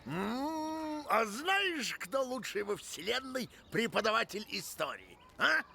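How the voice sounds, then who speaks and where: A man voicing a huge, boisterous, excitable alien monster archaeologist in an animated film, very theatrical in delivery. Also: takes it slowly, vocal fry, slight background noise, heavily acted. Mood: sly. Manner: theatrical, swinging from booming exclamations to conspiratorial murmurs.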